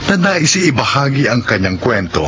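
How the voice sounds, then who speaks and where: A man reading scripted narration as a radio drama announcer, solemn and deliberate. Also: mid-range voice, very animated, noisy background. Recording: muffled radio broadcast over music.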